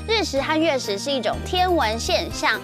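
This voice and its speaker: A young woman with a Taiwanese accent hosts children's story time. Her voice is high and very animated, with dramatic delivery. Music plays in the background. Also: normal speed. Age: young adult. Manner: expressive, dramatic. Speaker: children's show host. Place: TV studio set.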